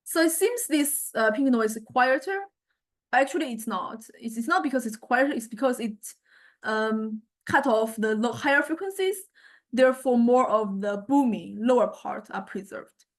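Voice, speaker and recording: Female lecturer, slightly high voice, informal software demo, tentative delivery with pauses to find words. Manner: hesitant, thinking aloud, searching for words. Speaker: music technology lecturer.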